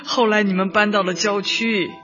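An actress in a radio drama playing a warm, devoted elderly woman community secretary who talks affectionately and emphatically. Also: medium pitch, fairly even intonation, moderate pace, lightly acted. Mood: tender, wistful. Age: older. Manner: affectionate, emphatic, sighing interjections. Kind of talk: Chinese radio drama acting. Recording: muffled, music behind.

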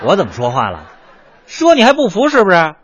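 An actor with a Beijing accent playing a harried, fast-patter crosstalk comedian who runs a teahouse, in a radio comedy drama. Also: male voice, high-pitched, huge pitch swings, breathy edge, no room sound, heavily acted. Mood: irritated. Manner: rapid comic patter, fussing and fawning. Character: harried male crosstalk comic and teahouse boss.

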